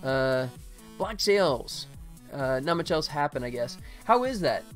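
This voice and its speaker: A man's voice: fairly high pitch, animated, a little slow. Chatty American man streaming over music. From United States, Atlanta. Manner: informal, chatty, addresses viewers by name. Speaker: game developer and livestream host. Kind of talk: casual livestream chat while working.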